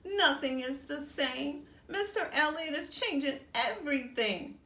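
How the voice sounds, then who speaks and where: A woman's voice: high-pitched, lively intonation, unhurried, sharp tone, voice pushed up, full character voice. A woman voicing an upset, earnest young schoolboy who resists change, for a children's storybook read-aloud. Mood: sad pleading. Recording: roomy echo, faint background noise.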